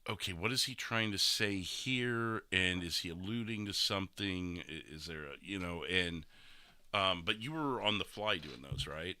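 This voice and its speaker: A man's voice: low-pitched, lively intonation, moderate pace, pushed and bright, dry recording. A man hosting a casual podcast, chatting and commenting on game roleplay. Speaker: host of a podcast reviewing game roleplay episodes.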